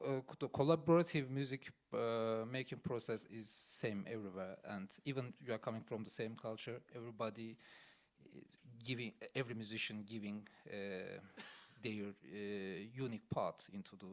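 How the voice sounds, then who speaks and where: Halting Turkish-accented man. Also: lowish voice, vocal fry. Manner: halting, many fillers and restarts. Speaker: musician. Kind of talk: panel Q&A answers.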